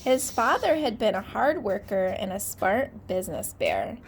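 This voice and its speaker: A woman teacher reading a storybook aloud to children, pausing to comment and ask questions. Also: mid-range voice, big pitch swings, slight background noise, poor audio.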